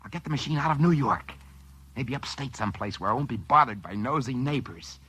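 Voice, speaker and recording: An American actor playing an excitable, work-shy schemer who stammers when flustered, in mid-century radio drama style. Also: male voice, medium pitch, a little fast, husky. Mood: smug, musing. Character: work-shy scheming dreamer who finds a wishing machine. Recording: vintage radio recording with faint music.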